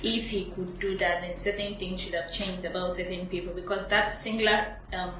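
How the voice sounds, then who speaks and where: A woman's voice: lowish voice, fairly even intonation, normal speed, a bit bright. A woman on a casual review panel, firm and argumentative, reasoning her way step by step. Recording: echoey room with faint noise.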